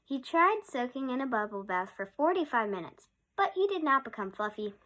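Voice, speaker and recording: A woman with a high voice reads a children's picture book aloud. Her storytelling is expressive, and she leans on important words by drawing them out. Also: a little fast.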